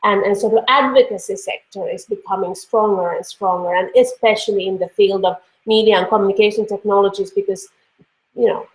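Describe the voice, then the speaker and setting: A woman with a Finnish accent explains a course assignment over a video call, speaking casually and circling back with fillers and false starts. Her voice sits at an ordinary middle pitch for a woman, and the room around her rings with a noticeable echo. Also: fairly even intonation, fairly quick. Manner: conversational, many fillers and restarts. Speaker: lecturer. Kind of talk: online course lecture. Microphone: computer mic on a video call. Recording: echoey room.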